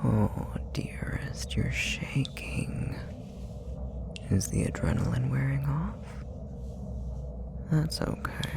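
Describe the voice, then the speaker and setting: A man voicing a possessive, manipulative demon in an intimate ASMR roleplay, whispering with a gravelly fry in a deliberate, taunting way. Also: medium pitch. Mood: soothing, tender. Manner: slow, deliberate, taunting, condescending. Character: possessive, manipulative male puppetry demon, coldly menacing.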